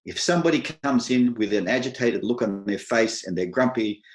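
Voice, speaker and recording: A middle-aged Australian man giving an advice talk to an online meetup, speaking slowly and clearly with dry humour. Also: mid-range voice, roomy sound. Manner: slow, deliberate, clear delivery for non-native listeners.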